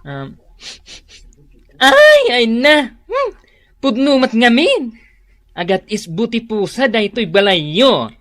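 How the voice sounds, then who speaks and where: A woman with an Ilocano accent playing a sassy, gossipy neighbour who sells air fresheners in a comedy radio drama, teasing and salesy with drawn-out exclamations. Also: on the high side, big pitch swings, a bit bright, no room sound, studio quality, full character voice. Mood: disgusted, teasing.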